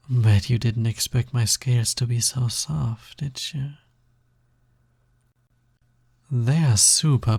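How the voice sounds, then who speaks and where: A man acting as a smug, touch-starved naga demon in an intimate audio roleplay. The voice is mellow and breathy with a gravelly creak at the edges. He drawls softly, teasing and coaxing the listener. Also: medium pitch, no room sound, exaggerated voice. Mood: contented, soothing. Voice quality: breathy, soft, slightly gravelly.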